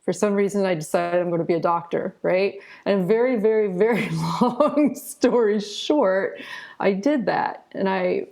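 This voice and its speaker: A woman's voice: medium pitch, measured pace, vocal fry, a little mellow. A woman in her forties with an American accent, telling her own story informally and candidly as an interview guest. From southern Ohio, United States. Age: 40s. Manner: informal, candid, often adds "you know". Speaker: interview guest, retired physician turned author. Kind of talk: conversational personal storytelling.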